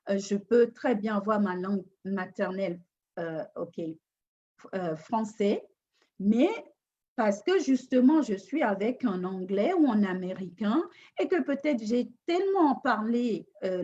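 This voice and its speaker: Woman talking slow n thoughtful on a live stream, kinda muffled lo-fi sound, lots of pauses. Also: on the high side, dark tone. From Africa. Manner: slow, thoughtful, many hesitations and restarts. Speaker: relationship coach hosting a live talk. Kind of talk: unscripted live talk answering a question. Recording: dull lo-fi live stream audio.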